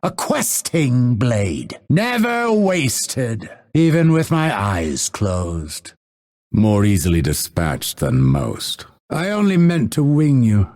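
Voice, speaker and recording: A man voicing a vengeful exiled assassin in a video game, grim and proud, with a raspy, theatrical, ominous delivery. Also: medium pitch, huge pitch swings, slow pace, darkish tone. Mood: coolly taunting. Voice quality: gravelly, raspy. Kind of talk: dramatic video game voice lines.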